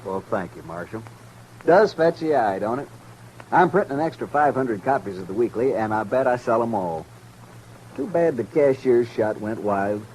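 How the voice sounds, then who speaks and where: An actor playing a self-satisfied small-town frontier printer in a radio western, folksy, eager and boastful, with an American Western accent. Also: male voice, mid-range voice, expressive, moderate pace, a little mellow, lightly acted. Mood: gleeful. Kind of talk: 1950s radio western acting. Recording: noisy old 1950s radio recording.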